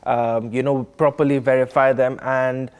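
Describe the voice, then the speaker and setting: A young man walks a TV host through how his company's service works. His delivery is measured and explanatory, with frequent hesitations, recorded on a lapel mic in a studio. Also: medium pitch, little pitch movement, a little mellow, clean tone. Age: young adult. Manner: measured, explanatory, many "uh" fillers. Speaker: company representative.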